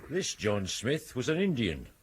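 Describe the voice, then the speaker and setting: An actor playing a dutiful police sergeant in a radio drama, respectful and matter-of-fact. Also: male voice, medium pitch, huge pitch swings, unhurried, a little hiss or hum.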